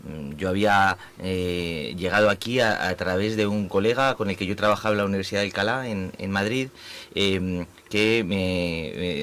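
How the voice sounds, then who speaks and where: Spanish-accented male academic, low voice, hesitant radio guest. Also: a bit bright. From Spain. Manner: hesitant, many fillers and restarts. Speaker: guest, urban planning professor. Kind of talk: radio interview. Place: radio studio.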